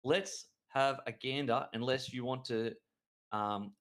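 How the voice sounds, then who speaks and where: A man co-hosting a trading show, talking excitedly and rambling through chart analysis with frequent restarts. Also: mid-range voice, slow pace. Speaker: crypto trading show co-host. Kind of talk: enthusiastic market analysis chat.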